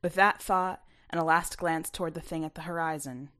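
A woman reads a short story in the first person, as its author. Her voice is bright and crisp-edged, a little low for a woman. The narration is scripted and expressive. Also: fairly quick. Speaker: author reading own short story, podcast regular.

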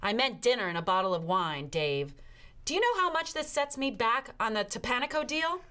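Audio drama role: exasperated American career wife, higher-pitched, animated, sarcastic, bickering delivery. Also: female voice, normal speed. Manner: snippy, sarcastic. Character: irritated, sarcastic career-driven wife on road trip.

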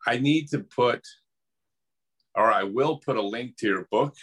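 A man hosts a casual interview, cutting in with short clarifying questions. His voice sits at an ordinary middle pitch. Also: unhurried, a bit bright, husky. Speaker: host.

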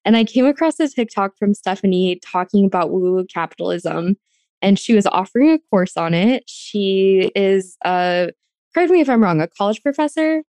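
A woman's voice: medium pitch, expressive, moderate pace, a little mellow, dry recording. An American woman from rural Arizona hosting a podcast in a casual, gushing, conversational way. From rural Arizona, United States. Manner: enthusiastic, gushing. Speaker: podcast host.

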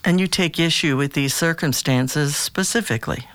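Audio drama therapist role for an actor. Calm, probing, mid-pitched voice, measured delivery, very dry recording. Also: no echo, natural voice. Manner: measured, gently questioning.

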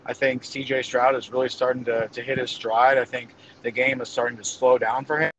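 Male football analyst, mid-range voice, halting and conversational predictions, muffled audio with faint noise. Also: medium pitch, fairly even intonation, fairly bright tone. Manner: hesitant, thinking aloud as he goes. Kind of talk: casual game-prediction commentary.

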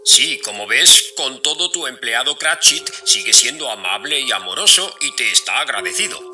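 A man playing a solemn, knowing Christmas spirit who speaks in a measured, pointed way. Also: medium pitch, lively intonation, pushed and bright, faint music behind. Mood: grave, reproving. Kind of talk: amateur audio drama acting.

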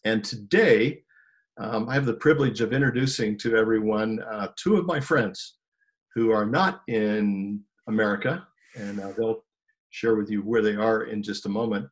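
A man's voice: on the low side, normal speed, low-quality recording. A man hosting an interview over a video call, talking with frequent ums and uhs. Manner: hesitant, many ums and uhs. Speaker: host.

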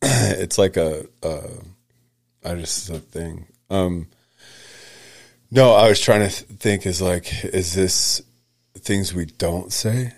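A man's voice: sits low, takes it slowly, creaky, pauses often, no room sound. A middle-aged man co-hosting a podcast with his wife, teasing her dryly and answering in short lines. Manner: dry teasing, brief replies. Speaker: podcast co-host. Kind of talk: casual chat.

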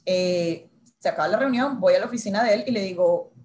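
Woman reporter telling a story in an interview, super animated, acts out everyone's lines. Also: mid-range voice, fairly quick. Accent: Spanish-speaker's accent in English. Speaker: TV news reporter.

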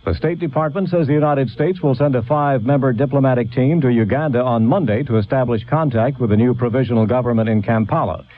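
A man's voice: mid-range voice, a little hiss or hum. Male radio newsreader, dull old recording. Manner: measured, formal newsreading. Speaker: radio news anchor. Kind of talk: scripted radio news bulletin.

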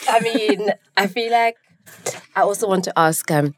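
A woman's voice: medium pitch, normal speed, a little hiss or hum. A woman hosting a casual interview in a studio, speaking in an effusive way. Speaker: podcast host. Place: recording studio.

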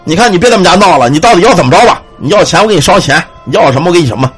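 A man's voice: high-pitched, expressive, rapid-fire, a bit bright, slightly rough, faint music behind, full character voice. A man storyteller voicing a frightened palace guard who stammers and pleads. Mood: pleading.

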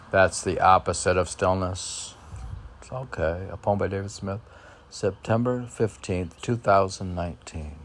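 A man informally reading his poems and commenting on them in a halting, musing way, as if talking to himself. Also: low voice, a little hiss or hum. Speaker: poet reading and commenting on own poems for a podcast. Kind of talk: informal poetry reading with rambling self-reflective commentary.

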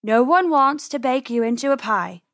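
A woman voicing an angry, menacing male farmer, the villain of a children's storybook, with drawn-out threats. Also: sits high, expressive, no room sound, clean studio sound. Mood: coaxing menace.

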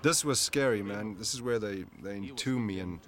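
A man's voice: fairly low pitch, normal speed. A man, an actor in the film, chatting casually and jokingly while commenting on it. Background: film soundtrack music.

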